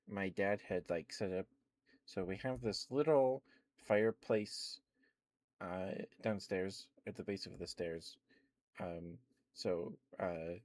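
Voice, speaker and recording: Low-voiced young man rambling softly. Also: no echo. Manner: rambling, meandering, with many soft fillers and quiet laughs. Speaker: ASMR streamer. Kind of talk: soft rambling ASMR chat.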